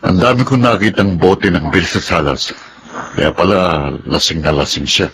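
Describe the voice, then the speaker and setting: A man acting a gentle, weary middle-aged stepfather in a radio drama, with a Filipino accent. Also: low voice, moderate pace. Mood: concerned.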